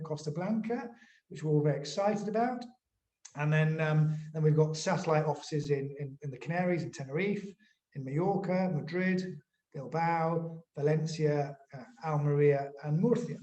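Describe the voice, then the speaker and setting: A man, a lawyer explaining things informally in an interview, haltingly and often repeating words. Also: a little high, warm tone. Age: 40s. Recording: roomy, echoing sound.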